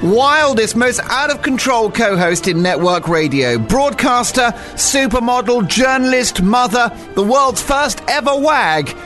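High-voiced camp radio host. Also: male voice, animated, unhurried, few pauses, fairly bright tone, faint music behind. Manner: camp, teasing, theatrical introductions. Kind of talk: bantering radio talk show. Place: radio studio.

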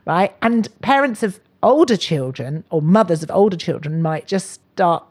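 A woman's voice: lowish voice, lively intonation, normal speed, darkish tone. A middle-aged woman, a comedian guest talking casually with wry self-mockery. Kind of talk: casual, chatty interview.

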